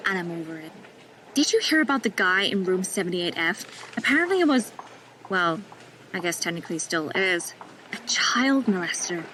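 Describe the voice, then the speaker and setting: A woman's voice: on the low side, fairly bright tone, a little hiss or hum, rough recording. An actress playing a sarcastic, blunt young female nurse in an audio drama.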